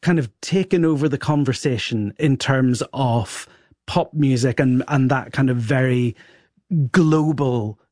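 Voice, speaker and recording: A man's voice: mid-range voice, measured pace, darkish tone, dry recording, clean studio sound. A man explaining pop music fluently and enthusiastically as a podcast guest.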